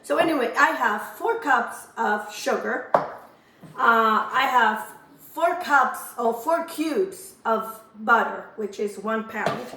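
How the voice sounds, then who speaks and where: Hoarse, woman host; unhurried, homely kitchen chatter, slightly high voice, recorded a step back from the mic. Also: lively intonation, roomy sound, recorded from a distance, background noise. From Azores. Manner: chatty, homely, rambling asides. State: hoarse from a lingering cough. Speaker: cooking channel host. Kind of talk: casual cooking demonstration. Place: home kitchen.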